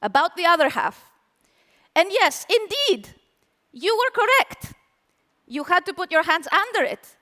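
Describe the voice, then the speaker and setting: A woman with a very high-pitched voice giving a lively, playful tech talk at a live conference, asking the audience questions. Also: a little slow, long pauses, big-room sound. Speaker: conference speaker.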